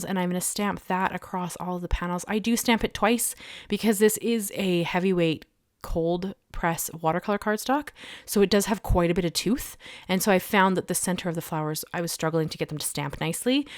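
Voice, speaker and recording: Slightly low woman with a head cold. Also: no room sound, studio quality. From Canada. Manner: low-energy, chatty, many restarts. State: has a head cold and sore throat. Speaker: card-making channel host. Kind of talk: crafting tutorial voiceover.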